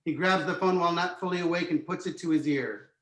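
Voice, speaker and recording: A man's voice: fairly high pitch, quick pace, a bit bright. A man narrating a screenplay's stage directions as scripted reading, recorded in an echoey room.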